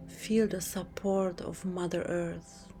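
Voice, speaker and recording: A woman speaks in a soft, breathy half-whisper, soothing and unhurried with long pauses, as a yoga teacher leading a guided relaxation meditation. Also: lowish voice, fairly even intonation. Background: music.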